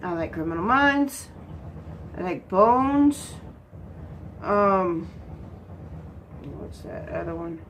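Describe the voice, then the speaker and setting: A woman's voice: on the low side, expressive, slow pace, long pauses, a bit bright, slight background noise, low-quality recording. A congested woman from Texas, chatting casually while she works on a craft and answering her own questions. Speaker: crafting vlogger.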